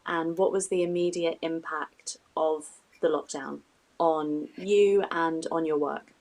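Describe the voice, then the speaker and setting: A woman hosts an arts interview on a video call. Her voice sits a little low, and she speaks as the presenter putting questions to guests. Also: fairly even intonation, slow, a little mellow, poor audio. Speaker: host and interviewer. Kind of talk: live online arts interview.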